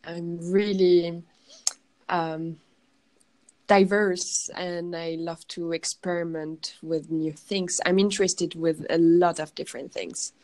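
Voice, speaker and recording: French woman guest, slow and halting, lowish voice, lots of ums. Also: little pitch movement, darkish tone. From France. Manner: hesitant, searching for words, laughs often. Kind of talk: casual interview.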